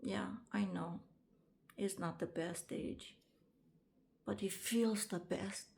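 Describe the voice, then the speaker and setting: A woman, introducing herself to camera in a casual vlog, halting often with ums and restarts. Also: medium pitch, fairly even intonation, gravelly, darkish tone. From Romania. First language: Romanian. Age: 50s. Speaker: vlogger and author.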